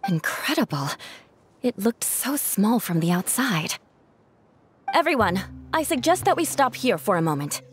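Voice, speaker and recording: A woman's voice: mid-range voice, big pitch swings, measured pace. A woman voicing a proud, cautious young female knight in a video game, formal and composed, over music. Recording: music behind the voice.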